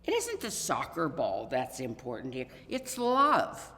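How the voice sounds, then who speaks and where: Woman as a slow, thoughtful storybook dad. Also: rumbling low, huge pitch swings, sharp tone, pitched below the performer's own voice, exaggerated voice. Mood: thoughtful, fond. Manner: deliberate, fond. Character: thoughtful, gentle father of a young boy. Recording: reverberant hall.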